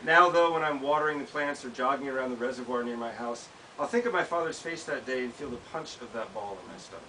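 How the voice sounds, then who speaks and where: An American man reads a personal essay aloud at a live event. His mid-pitched voice is bright and clear-edged. It is caught by a camera mic at some distance, with faint hiss in the room. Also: quick pace. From United States. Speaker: author reading from own work. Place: small bookshop event, indoors. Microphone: camera several feet away. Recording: distant mic, hissy background noise.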